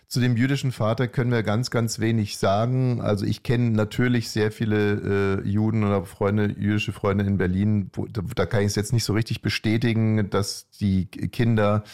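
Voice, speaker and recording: A man co-hosting a podcast, talking in a casual, hesitant way with many pauses and restarts. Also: fairly low pitch, darkish tone. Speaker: podcast co-host. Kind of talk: casual conversational commentary.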